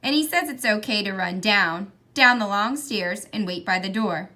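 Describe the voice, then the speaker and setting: A woman reading a children's picture book aloud in an expressive storytelling style. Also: medium pitch, normal speed. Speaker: read-aloud reader.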